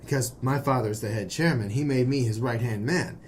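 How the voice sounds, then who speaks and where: Male actor as jittery young man, earnest, hesitant, excitable, noir audio drama, faint background noise. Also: medium pitch, a little fast, close to an everyday voice. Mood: uneasy, confessing. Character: earnest, nervous young male defector from secret brotherhood.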